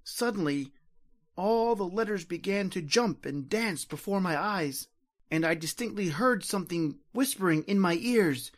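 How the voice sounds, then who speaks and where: A woman playing a desperate, terrified invisible widowed soul, dramatic and halting, in an old-time radio horror monologue. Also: lowish voice, lively intonation. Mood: haunted dread. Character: desperate, terrified invisible widower trapped between life and death.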